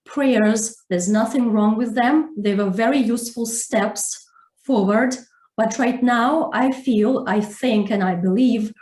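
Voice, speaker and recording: Calm reflective lady on a video call, roomy echo, pauses to think. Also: medium pitch. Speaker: guest, spiritual teacher. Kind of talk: interview answers.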